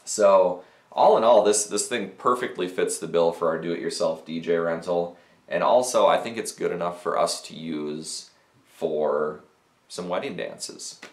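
Deep-voiced man reviewing sound gear. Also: unhurried. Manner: conversational, technical, frequent fillers and restarts. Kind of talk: casual gear review to camera. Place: small cluttered office with speakers and mixers. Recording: roomy small-office echo.

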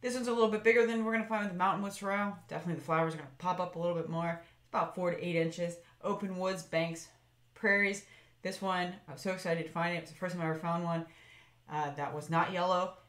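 Low-voiced woman narrating plant slides, echoey. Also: fairly even intonation, quick pace, fairly bright tone. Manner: chatty, meandering, with fillers and self-corrections. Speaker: foraging channel host. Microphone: voice-over over slides.

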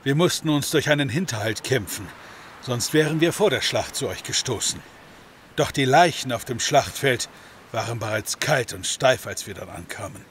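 A man plays a northern warrior in an audio drama, mid-pitched and level-headed. He speaks matter-of-factly over faint background ambience. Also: animated, moderate pace, a bit bright, slight background noise, lightly acted. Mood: grave. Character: level-headed Norse warrior, rescuing a lost comrade.

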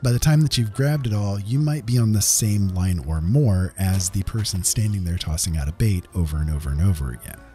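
A man's voice: sits low, expressive, fairly quick, few pauses, mellow. A man hosting a gaming video, narrating a script in a casual, conversational way with emphatic touches. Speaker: video game content creator, host. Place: voice-over over game footage. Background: faint background music.

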